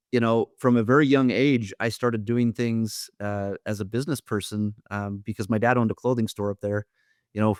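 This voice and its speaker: A man from Idaho speaks as a podcast guest, earnest and a little halting. He often restarts his sentences and leans on fillers, and the recording sounds dry and close. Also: on the low side, clear, resonant tone, no room sound. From Idaho, USA. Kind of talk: storytelling interview.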